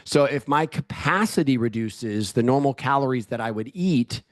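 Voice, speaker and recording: A man's voice: medium pitch, animated, moderate pace. Man explaining emphatically as podcast guest. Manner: didactic, emphatic, checks listeners follow. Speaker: podcast guest, health expert. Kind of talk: explanatory interview talk.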